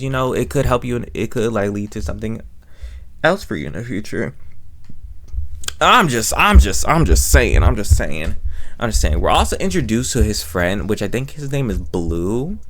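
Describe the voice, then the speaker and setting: A young man with an American accent giving a casual, unscripted recap as a reviewer, rambling and repeating his phrases. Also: sits low. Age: young adult.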